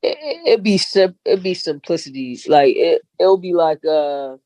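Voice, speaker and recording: A woman's voice: sits low, moderate pace, dark tone, no room sound, low-quality recording. Chill woman rapper guest, slangy and easygoing, dry sound. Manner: laid-back slangy talk with frequent "like" and "you know what I'm saying". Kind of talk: casual podcast interview.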